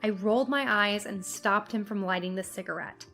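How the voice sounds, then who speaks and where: A woman's voice: mid-range voice, normal speed, pushed and bright, soft background music. A woman reading a scripted first-person story as its narrator.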